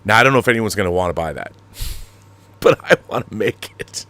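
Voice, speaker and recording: A man hosting a casual chat show, emphatic and digressive as he talks and makes promotional pitches. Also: expressive, a little fast, sharp tone. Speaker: talk show host.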